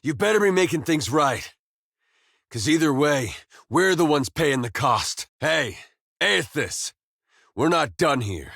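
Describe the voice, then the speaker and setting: Male actor as plainspoken farm-boy soldier, American accent, lowish pitch, wry, folksy, loyal, game dialogue, dry studio sound. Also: dry recording, close to an everyday voice. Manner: folksy, laid-back drawl, dry humor. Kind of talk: scripted video game dialogue.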